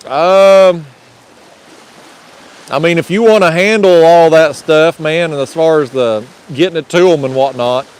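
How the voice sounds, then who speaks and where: A man's voice: fairly high pitch, measured pace, a little mellow, clean tone. A man hosting a casual livestream outdoors on a windy lake bank, laid-back and joking. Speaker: livestream host.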